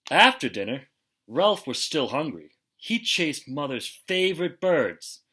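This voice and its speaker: Male storybook reader for young children, unhurried and forceful, with expressive, dramatic delivery. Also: fairly high pitch, slightly rough. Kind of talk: expressive children's picture book read-aloud.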